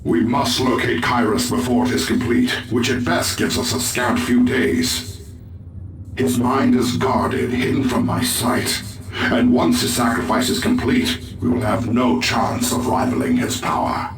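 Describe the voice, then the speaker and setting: An actor playing a solemn elf seer who talks in measured, portentous phrases. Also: male voice, medium pitch, fairly even intonation, reverberant, distant mic, background noise, close to an everyday voice.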